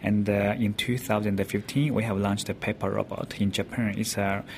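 A man's voice: lowish voice, little pitch movement, normal speed, creaky. A man with a Chinese accent explaining things in an interview in hesitant, non-native English. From China. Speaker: robotics company representative. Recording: noisy recording at a public event.

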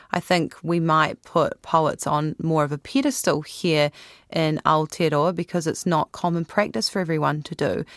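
A New woman hosts an interview with a low, steady voice. Her questions are measured and prepared. The sound is dry and close, with no room echo. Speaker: radio interviewer.

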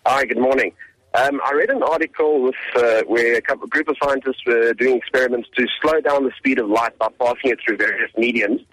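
Fast-talking husky male caller. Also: medium pitch, a bit bright, dry recording, poor audio. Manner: hesitant, searching for words.

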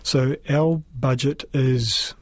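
A man with a New Zealand accent speaks in a measured, explanatory interview style. His voice is slightly husky and stays in a narrow pitch range. The recording is close and dry. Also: fairly even intonation, dry recording. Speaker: school principal being interviewed.

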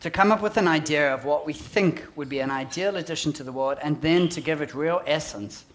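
A man in his forties with a South African accent, giving a prepared, measured public talk on design. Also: on the high side, a bit bright, slight background noise.

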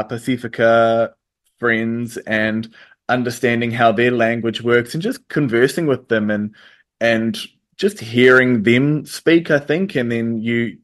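A man, answering interview questions in a hesitant way with many pauses and restarts. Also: mid-range voice. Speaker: interview guest. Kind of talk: radio interview.

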